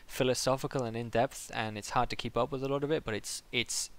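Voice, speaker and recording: A man hosts a live stream in a casual, conversational style. His voice sits at an ordinary middle pitch with a good deal of creak and fry in it. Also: steady tone, fast talker. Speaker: live stream host and interviewer. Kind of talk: casual livestream interview chat.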